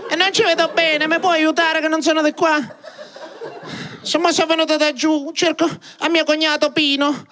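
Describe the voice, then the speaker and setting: A man voicing an elderly woman who shouts slowly down the phone on a train. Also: little pitch movement, a little hiss or hum. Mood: pleading. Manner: shrill, shouting, slow and drawn out. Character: elderly southern woman phoning loudly on a train.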